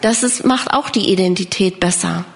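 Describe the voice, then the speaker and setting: A woman with a mid-pitched voice works as a live sermon interpreter. She renders the preaching one short phrase at a time. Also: moderate pace, gravelly.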